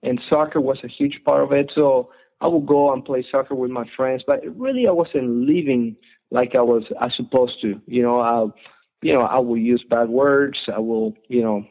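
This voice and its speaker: A man's voice: mid-range voice, normal speed. A young man from Ecuador, a guest in a casual interview who often restarts his phrases. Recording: tinny phone-like line.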